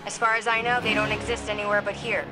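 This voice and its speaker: A woman's voice: medium pitch, little pitch movement, fast talker, loud music behind the voice, lightly acted. A woman playing a confident, determined scientist-adventurer in a video game, with a dry, assured delivery. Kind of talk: scripted game voice acting.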